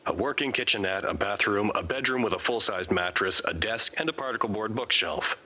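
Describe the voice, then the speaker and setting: A man playing a weary, formal quarantined character, narrating his confinement in measured, articulate audio drama monologue. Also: on the low side, pushed and bright, slightly rough, full character voice.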